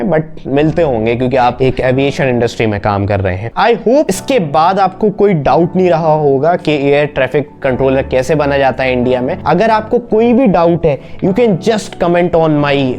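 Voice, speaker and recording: A man's voice: on the high side, dark tone. A man with an Indian accent giving an informal explainer as a career guidance presenter, posing questions and answering them himself. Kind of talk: informal explainer to camera, Hindi mixed with English. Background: background music.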